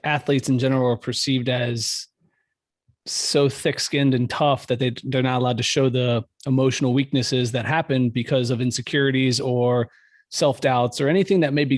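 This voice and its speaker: A man's voice: mid-range voice, no echo, studio quality. A man hosting an interview podcast, friendly and affirming, leading into questions with long setups. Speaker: podcast host. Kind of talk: casual interview.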